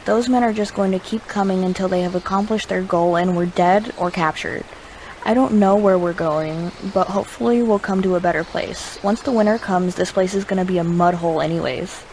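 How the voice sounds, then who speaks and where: A woman's voice: fairly low pitch, brisk, darkish tone, a little hiss or hum, close to an everyday voice, rough recording. A woman playing a resolute refugee survivor in an audio drama, earnest and determined. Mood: determined, hopeful.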